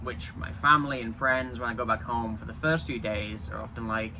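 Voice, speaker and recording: A man's voice: mid-range voice, steady tone, brisk, noisy background. A young man from Yorkshire with a Yorkshire accent touched by a Canadian twang, chatting casually in a rambling vlog. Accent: Yorkshire English with a slight Canadian twang. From Yorkshire, northern England. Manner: chatty, rambling, with frequent restarts. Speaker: vlogger.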